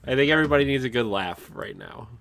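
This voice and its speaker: A man co-hosting a podcast in casual chat, speaking in a hesitant, halting way. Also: medium pitch, lively intonation, fairly bright tone, no echo. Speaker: podcast co-host.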